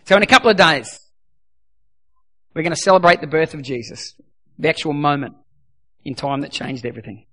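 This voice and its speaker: A middle-aged pastor with a high, bright voice that has gone a little croaky. He preaches emphatically, hammering home repeated lines and then easing into quiet prayer. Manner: emphatic, swings from loud repeated exhortations to soft prayer. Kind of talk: sermon.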